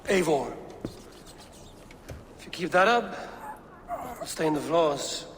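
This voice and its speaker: An unruffled Viking warrior for a video game. The voice is fairly high for a man, dry and wry, with clear background noise. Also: huge pitch swings, slow pace, full character voice.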